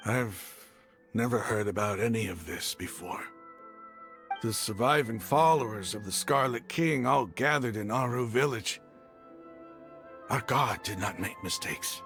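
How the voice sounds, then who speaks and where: An actor playing a proud, embittered, stubborn desert warrior in a game cutscene, speaking with emphatic, dramatic intensity. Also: male voice, lowish voice, measured pace, fairly bright tone, faint music behind. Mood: bitter, indignant. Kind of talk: video game cutscene acting.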